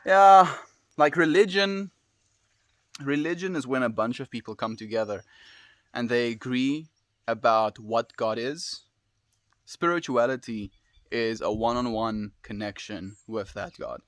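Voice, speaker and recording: A man from South Africa with a South African accent, hosting a live stream, chatting with viewers in a relaxed, musing way with soft laughs. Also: mid-range voice, a little slow, dry recording. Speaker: livestream host. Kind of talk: casual live-stream chat reading viewer comments.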